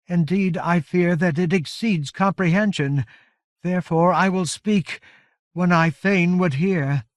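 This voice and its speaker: Man voicing a high, exhausted giant warrior woman. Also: unhurried, no room sound, clean studio sound. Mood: weary, urgent. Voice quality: strained with exhaustion. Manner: formal, archaic, grave oratory. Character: Rime Coldspray, weary giant woman warrior leader, solemn. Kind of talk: audiobook character voice.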